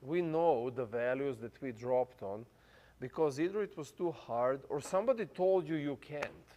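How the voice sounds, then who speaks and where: A man's voice: mid-range voice, expressive, normal speed, warm tone. A man in his thirties with a non-native English accent, preaching a casual, chatty sermon as pastor, full of fillers and restarts. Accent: foreign accent, non-native English. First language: not English. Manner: chatty, many fillers and restarts, laughs easily.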